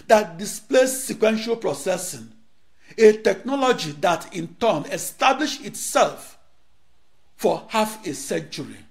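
A middle-aged man from Nigeria, a native Igbo speaker, giving a rehearsed lecture in short declaimed phrases with dramatic pauses, filmed in a studio on a lapel mic. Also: high voice.

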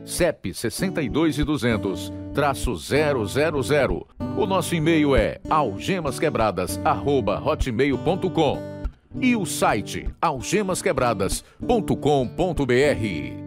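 A man with a Brazilian accent delivering scripted evangelistic narration as a radio announcer, formal and clearly phrased. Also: mid-range voice, very animated, measured pace. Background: guitar music.

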